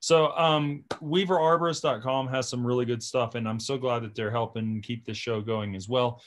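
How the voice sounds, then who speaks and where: A man's voice: mid-range voice, fairly even intonation, normal speed. A man hosting a podcast in a casual, chatty style, talking emphatically and often checking in with "right?" and "you know". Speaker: podcast host.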